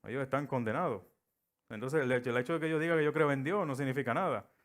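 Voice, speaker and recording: A man's voice: mid-range voice, fast. A middle-aged man from Puerto Rico with a Puerto Rican Spanish accent, preaching a sermon and telling stories, acting out the voices he quotes. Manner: storytelling, acts out quoted voices.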